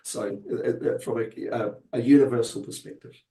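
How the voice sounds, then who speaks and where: Casting: croaky, hesitant man from New Zealand on a video call, echoey room. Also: medium pitch, fairly even intonation, normal speed, dark tone. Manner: hesitant, many fillers and restarts. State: croaky, recovering from illness. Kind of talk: webinar interview answers.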